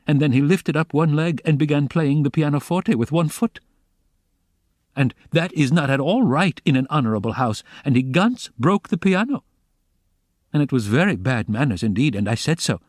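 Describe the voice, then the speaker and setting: A man putting on a shrill German landlady. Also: darkish tone, dry recording, clean studio sound. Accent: German accent put on. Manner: pattering, rapid, aggrieved complaint. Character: flustered middle-aged German landlady, shrill and indignant.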